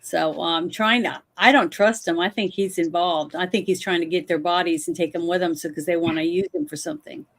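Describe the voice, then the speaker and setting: A woman's voice: fairly low pitch, animated, a little fast, few pauses. A woman playing a tabletop game, talking casually through what her character wants to do.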